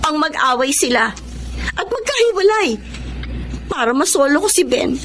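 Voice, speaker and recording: A woman's voice: sits high, big pitch swings, moderate pace, a bit bright, a little hiss or hum. An actress playing a lovesick, stubborn young woman in a plaintive, emotional Tagalog radio drama style. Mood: determined. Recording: music behind.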